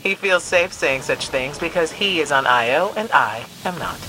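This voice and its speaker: A woman's voice: deep voice, lively intonation, moderate pace, pushed and bright, a little hiss or hum, poor audio. An actress playing a composed, dryly witty female scholar who guides players through a video game mission. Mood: wry. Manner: dry, composed, wry.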